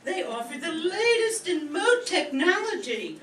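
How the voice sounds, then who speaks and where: Woman as salesy neighbor, radio comedy spot, slow emphatic swooping delivery, echoey stage. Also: very animated, a bit bright, exaggerated voice. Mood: enthusiastic, selling. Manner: emphatic, drawn-out pitchwoman delivery. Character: eager salesy female next-door neighbor. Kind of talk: comic radio-commercial acting. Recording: echoey stage, distant mic.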